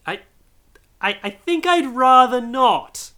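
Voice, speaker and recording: A man's voice: high-pitched, wildly swooping pitch, moderate pace, pushed and bright, pitched above the performer's own voice, heavily acted. A man voicing a frightened old male miser who pleads in a faltering way. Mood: pleading.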